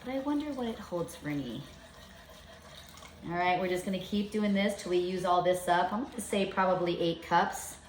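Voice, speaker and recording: A woman's voice: lowish voice, normal speed, echoey room, slight background noise, low-quality recording. A woman in her fifties giving a chatty, step-by-step home cooking demonstration in her kitchen. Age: 50s.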